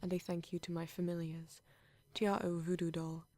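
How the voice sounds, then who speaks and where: A woman with a British accent giving a soft-spoken, near-whispered scripted outro as an ASMR creator. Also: on the low side, little pitch movement, fairly quick, no echo.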